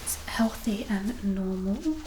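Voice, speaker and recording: A woman softly whispering a medical ASMR roleplay as a doctor, breathy, gentle and reassuring. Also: medium pitch, expressive, slow pace. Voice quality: breathy, mostly whispered soft voice. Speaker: ASMR performer roleplaying a doctor giving a physical exam. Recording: roomy echo, distant mic, clear background noise.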